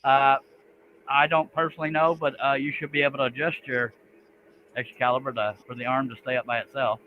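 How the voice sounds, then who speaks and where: A man's voice: medium pitch, little pitch movement, brisk. A man from Texas hosting a live stream in a folksy, casual way. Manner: folksy, says "dang". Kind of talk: casual chat. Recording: tinny phone-like line with faint noise.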